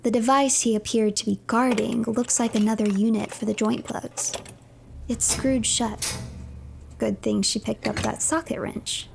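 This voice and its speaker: A woman tells a horror game's story in the third person, like a dramatic narrator. Her voice sits fairly high, with a strong creak in it and breathy dips toward a whisper. There is faint noise and ambience behind her. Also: steady tone, a little slow. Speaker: streamer narrating a horror game playthrough.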